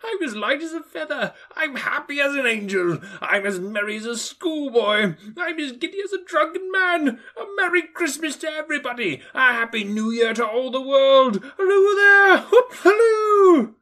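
A man reading an audiobook, voicing an old male miser in a high, breathless voice, exclaiming and half laughing, half crying. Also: animated, moderate pace, few pauses, fairly bright tone, exaggerated voice. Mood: giddy, overjoyed.

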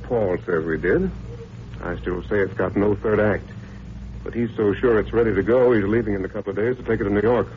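Tinny, low-voiced cynical columnist, played by an actor. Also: male voice, fairly even intonation, brisk, lightly acted. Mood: bitter, needling. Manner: wry, needling, theatrical. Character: cynical, hard-drinking newspaper columnist, sardonic and bitter. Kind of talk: 1940s radio drama acting. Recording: tinny, hissy old radio recording.

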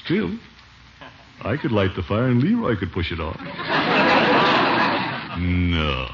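An actor playing a pompous, sentimental middle-aged uncle in a radio comedy, with a blustery, sighing delivery. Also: male voice, deep voice, huge pitch swings, slow pace, heavily acted. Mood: indignant, resigned. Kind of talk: 1950s radio situation comedy acting. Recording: old muffled radio recording, faint hiss.

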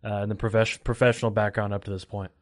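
Relaxed low-voiced male podcast host. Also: fast talker. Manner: relaxed, easygoing questioning. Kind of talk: casual podcast interview.

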